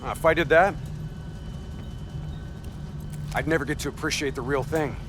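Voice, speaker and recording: A hardened squad soldier, acted by a man with a fairly high voice. He is matter-of-fact in a cinematic game, with clear outdoor noise around him. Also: fairly even intonation, fast, natural voice. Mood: relishing. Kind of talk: cinematic video game acting.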